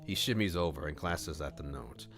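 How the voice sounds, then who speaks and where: Deep-voiced man streaming a story read-aloud. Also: moderate pace. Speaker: streamer reading a visual novel aloud. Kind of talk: expressive story narration with casual live commentary. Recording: music under the voice. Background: background music.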